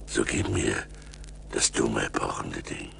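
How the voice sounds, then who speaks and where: An actor playing a sinister giant forest spirit in a radio play, coaxing and mocking in a slow, theatrical, creaky voice. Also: male voice, rumbling low, sing-song, heavily acted. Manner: slow, theatrical, wheedling and scornful. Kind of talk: German radio play acting. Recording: 1980s vinyl radio play with music.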